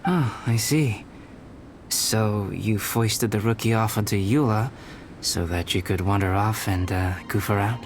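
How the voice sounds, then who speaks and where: An actor playing a calm, cerebral young alchemist in a video game, speaking in a measured, articulate, formal way with polite aloofness. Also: male voice, on the low side, noisy background. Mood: wry, amused.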